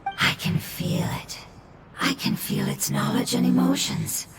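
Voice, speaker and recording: A woman playing a calm, consoling spirit being who speaks softly, often in a whisper. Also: unhurried, a little hiss or hum, close to an everyday voice. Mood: soothing. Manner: soft, often whispered, soothing. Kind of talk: video game cutscene dialogue. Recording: distant and echoey with loud music.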